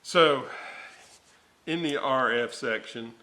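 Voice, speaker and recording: Slow-talking older man, halting workshop explainer. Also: medium pitch, bright, forceful tone, poor audio. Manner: halting, thinking aloud. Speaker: vintage radio restorer and video host. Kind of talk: casual hands-on workshop explanation. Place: indoor home workshop.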